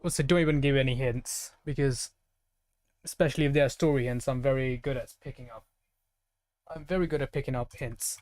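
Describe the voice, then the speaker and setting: Casual male game streamer, middling pitch. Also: fairly quick, a little mellow, dry recording. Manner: chatty, playfully talking to game characters and enemies. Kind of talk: casual live gameplay commentary.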